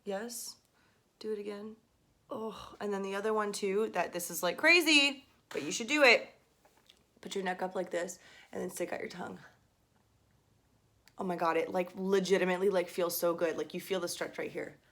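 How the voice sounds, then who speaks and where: A young adult woman voice teacher talks through warm-up tips in a casual, filler-heavy way. A slight gravel shows in her lower register as she demonstrates exercises. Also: moderate pace, long pauses. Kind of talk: casual video lesson.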